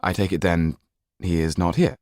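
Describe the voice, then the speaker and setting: Man playing a deep-voiced gentleman banker. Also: moderate pace, no echo, clean studio sound, natural voice. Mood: polite, firm. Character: worried, well-bred gentleman banker, kindly and direct.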